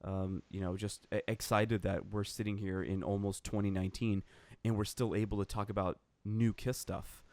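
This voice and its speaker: A man's voice: fairly low pitch, little pitch movement, normal speed, a little mellow, no echo. A man co-hosting a casual podcast chat, eager and full of fillers. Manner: enthusiastic, filler-heavy. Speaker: podcast co-host.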